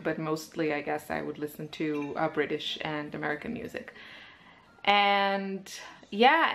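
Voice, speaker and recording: Slow, hesitant woman vlogging. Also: on the low side, noisy background. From Israel. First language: Hebrew. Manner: slow, hesitant, many pauses and fillers. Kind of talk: casual personal chat to camera. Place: at home with her baby. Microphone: handheld compact camera.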